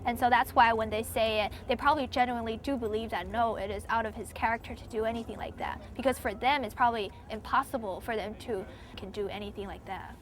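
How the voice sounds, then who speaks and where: Young woman from Taiwan, casual interviewee, slightly high voice, loose and chatty with lots of "like", a light hiss of noise behind her. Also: quick pace, hardly any pauses. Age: young adult. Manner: chatty, many "like" fillers, hedging.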